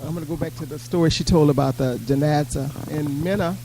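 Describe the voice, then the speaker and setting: A man's voice: fairly high pitch, steady tone, quick pace, dark tone, a little hiss or hum. A man telling a story informally to an audience in a plain, matter-of-fact way. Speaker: panel speaker sharing pilgrimage experiences.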